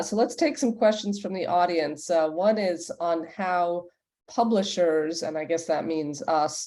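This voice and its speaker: A woman's voice: lowish voice, warm tone. A woman moderating a professional online panel and putting audience questions to the guests. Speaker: panel moderator and audio development executive.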